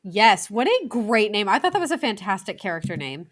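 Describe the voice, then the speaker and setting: A woman in her thirties from the United States, co-hosting a casual film review podcast, chatty and excitable with quick asides. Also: medium pitch. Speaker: podcast co-host.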